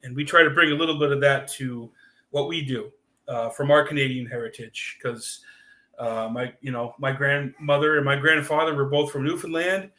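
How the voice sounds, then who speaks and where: A man co-hosting a loose talk show, telling a story in a rambling way with plenty of fillers and restarts. His voice is bright and forceful. It rings around an echoey room. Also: medium pitch, fairly even intonation, normal speed. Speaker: podcast co-host. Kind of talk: casual chat reading super chats.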